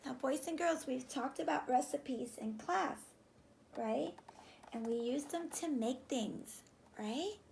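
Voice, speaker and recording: A woman in her thirties reading a children's storybook aloud like a teacher, expressive and addressing the children with questions. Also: high voice, slow, vocal fry, a little hiss or hum. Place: small furnished room indoors, seated on sofa.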